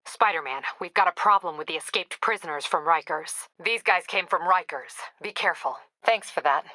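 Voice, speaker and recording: Bright, crisp no-nonsense policewoman. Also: on the low side, no echo. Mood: dry, businesslike. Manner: brisk, clipped, authoritative. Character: no-nonsense female police captain, dry and businesslike. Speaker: voice actor in a video game. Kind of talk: video game dialogue.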